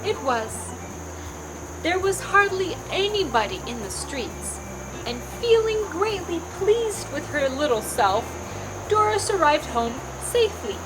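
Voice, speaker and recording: A woman's voice: extremely high pitch, big pitch swings, measured pace, background noise. A woman storyteller narrating a scripted story for children. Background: music.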